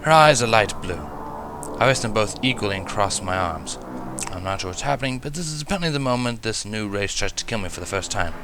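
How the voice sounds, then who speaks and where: A man's voice: on the low side, expressive, brisk. A man narrating a first-person story expressively, as a fan fiction reader. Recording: music behind the voice. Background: music.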